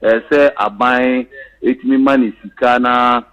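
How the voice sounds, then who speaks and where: A man calling in to a radio show, talking in a halting way with many fillers. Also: mid-range voice, fairly even intonation, a bit bright, lo-fi sound. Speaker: caller.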